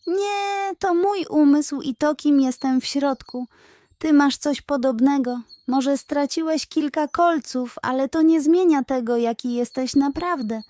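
A man's voice: very high-pitched, a little slow, a little mellow, no room sound, voice pushed up. A woman voicing a playful, wise male fox who teases and reassures in a children's bedtime story. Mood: reassuring. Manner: teasing yet reassuring.

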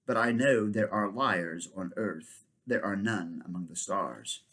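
A man's voice: deep voice, animated, fairly bright tone, husky, pitched below the performer's own voice, full character voice. A man doing a grave old centaur. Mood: solemn. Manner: grave, slow, measured warnings. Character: old wise male centaur stargazer, grave and solemn.

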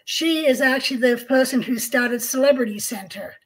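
Woman, middle-aged, from Australia, co-host, video call, slightly high voice, calm measured storytelling. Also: crowd noise, rough recording. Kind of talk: casual interview chat. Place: home room, indoors.

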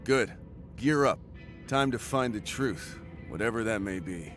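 An actor voicing a determined, driven mercenary commander in a video game, speaking earnestly and decisively. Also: male voice, fairly low pitch, lively intonation, measured pace, a bit bright, faint music behind. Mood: businesslike.

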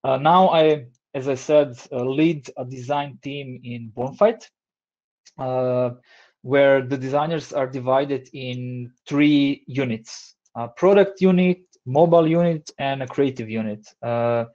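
A man in his thirties speaks English with a Croatian accent, easygoing and halting, with plenty of "uh"s. His voice comes through a headset on a video call. The sound is a bit dull and lo-fi. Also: medium pitch. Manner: relaxed, hesitant with many fillers. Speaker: design lead giving a talk. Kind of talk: online presentation. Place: home room, indoors.

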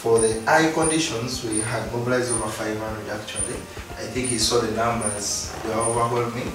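A man's voice: mid-range voice, fairly even intonation, fairly quick, fairly bright tone, recorded from a distance. Man heard off-mic in a very echoey room. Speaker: mission coordinator. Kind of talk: interview account. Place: meeting room indoors.

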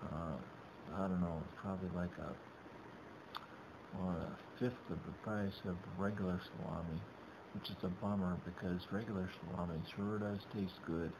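A middle-aged man narrating his shopping trip in a halting, rambling way, full of restarts, over a webcam. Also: sits low, steady tone. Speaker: vlogger. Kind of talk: casual narrated shopping vlog. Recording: dull lo-fi webcam audio with background noise.

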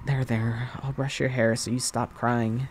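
A man playing a young slacker boyfriend in a zombie ASMR roleplay, flippant and foul-mouthed, rambling casually with a deadpan manner. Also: mid-range voice, measured pace, a little hiss or hum, close to an everyday voice. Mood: casual, reassuring.

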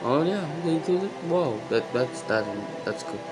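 Young guy talking real quiet into his phone, small room, show music playing. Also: lively intonation, low-quality recording. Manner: quiet, halting, low-voiced to avoid waking someone. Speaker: reaction video host. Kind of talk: hushed casual commentary. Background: series audio playing.

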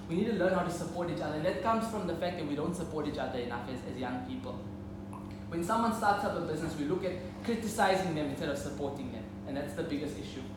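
A man in his twenties with a South African accent, giving an emphatic talk as a business speaker and often repeating key points. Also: a little high. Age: 20s. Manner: emphatic, repeats key phrases, checks in with listeners. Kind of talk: live public talk to young entrepreneurs. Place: indoor lecture hall. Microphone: camera or phone a few metres away. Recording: very echoey lecture room, clear background noise.

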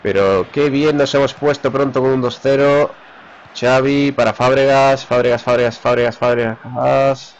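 A man's voice: medium pitch, highs cut off. Spaniard calling his football game live. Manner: excited, rapid name-calling of players. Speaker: gamer commentating his own match. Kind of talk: live play-by-play commentary.

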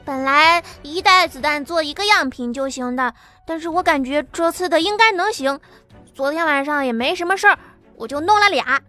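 An eager younger boy chats about what he made, voiced by a woman. The voice is very high and bright. Faint music sits behind. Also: lively intonation, moderate pace.

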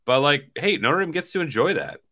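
A man's voice: on the high side, very animated, a little fast, pushed and bright. A man in his forties co-hosting a sports podcast, chatting casually and in a rambling way over a video call. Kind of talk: casual postgame sports chat. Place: small hotel room, indoors. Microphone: video call on laptop.

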